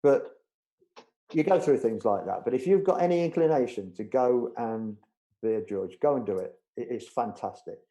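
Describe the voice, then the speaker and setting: A man's voice: medium pitch, animated, moderate pace, warm tone, low-quality recording. A middle-aged man, telling anecdotes in a casual interview, chatty and full of restarts. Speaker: budgerigar show judge, interview guest.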